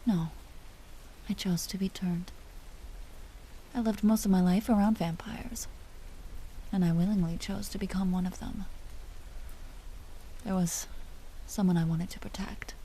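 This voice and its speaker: A woman playing an aristocratic vampire duchess in a roleplay audio drama, commanding and teasing yet secretly tender, often hushed and confiding. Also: medium pitch, fairly even intonation, a little slow, long pauses, a little hiss or hum, close to an everyday voice, rough recording. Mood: confiding, guarded.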